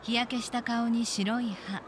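A woman's voice: a little high, steady tone, unhurried, noisy background, close to an everyday voice. An actress playing a wistful young woman recalling a lost love in an audio drama. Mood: wistful, reflective. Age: young adult. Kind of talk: audio drama dialogue and narration.

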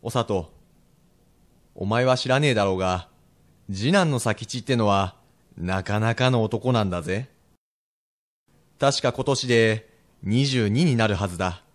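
A frank, steely merchant of old Edo, acted by a man for a period radio drama. His voice sits fairly low, and he speaks in a plain, rough townsman's way. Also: unhurried, pauses often, natural voice. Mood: persuading.

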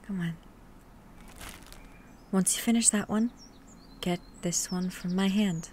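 Soft, slow-talking gentle monster hunter played by a woman. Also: medium pitch, close to an everyday voice. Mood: delighted, gentle. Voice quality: soft, breathy whispering at times. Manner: hesitant, tender, coaxing. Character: gentle, protective female monster hunter coaxing a small creature. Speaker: voice actor. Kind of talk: ASMR roleplay, soft-spoken. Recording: steady ambient noise with birdsong.

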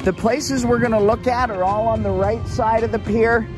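A man's voice: very high-pitched, steady tone, moderate pace, over music. A man in his forties giving a chatty, upbeat walking tour of a tropical beach, filmed at arm's length outdoors. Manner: upbeat, chatty, repeats words. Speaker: travel vlogger. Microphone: selfie camera at arm's length.

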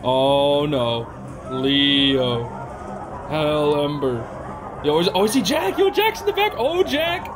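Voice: mid-range voice, very animated, takes it slowly, background noise. A young adult hosting casual gaming and reaction commentary, gushing in slangy exclamations. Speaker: host of a gaming reaction channel. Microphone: home recording on a makeshift travel setup. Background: music.

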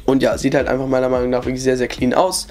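A man's voice: medium pitch, flat delivery, fast, darkish tone, soft background music. A young man with a cold, chatting casually through his sneaker collection at a desk indoors, full of fillers. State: has a cold. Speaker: sneaker vlogger. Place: indoor bedroom with shoe shelves.

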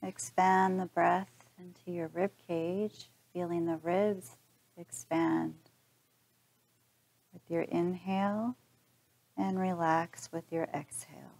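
A woman leading a guided body scan relaxation in a calm, gentle, instructional manner. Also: on the low side, slow, gravelly, low-quality recording. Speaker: meditation guide.

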